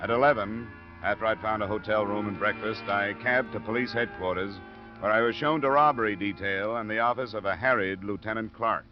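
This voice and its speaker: A man's voice: lowish voice, steady tone, a little fast, lightly acted. An actor playing a cool, wry insurance investigator who narrates his case in clipped, matter-of-fact 1950s radio detective style. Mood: crisp, matter-of-fact. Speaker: lead actor and first-person narrator. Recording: muffled old radio recording with music.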